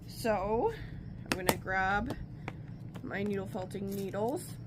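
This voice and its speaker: A woman with a blocked-up nose from a cold talks through her crafting in a loose, rambling way, often breaking off mid-thought. Her voice sits at an ordinary middle pitch. A clear hiss of noise runs under the home recording. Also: takes it slowly. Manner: chatty, rambling, self-interrupting. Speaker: crafting vlogger. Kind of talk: casual talk while crafting.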